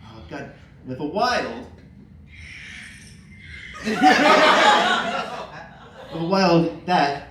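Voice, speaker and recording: A man's voice: fairly high pitch, sing-song, very slow, pauses often. A man reading a comedic fan fiction aloud to listeners, prone to cracking up as he goes. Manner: keeps cracking up mid-sentence. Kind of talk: live comedic story reading. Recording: echoey room, distant mic, faint noise.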